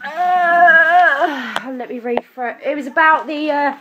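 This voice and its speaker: A young woman speaks on a phone call as a client. Her voice sits a little high, and her manner is direct and challenging. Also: slow pace, background noise. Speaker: client of social services, a mother. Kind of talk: phone conversation.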